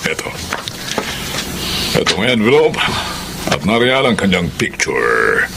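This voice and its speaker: An actor playing a scheming rich crime boss in a radio drama, gravelly and condescending, drawing out his words. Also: lowish voice, wildly swooping pitch, slow, heavily acted. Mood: smug, businesslike. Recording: noisy radio drama track.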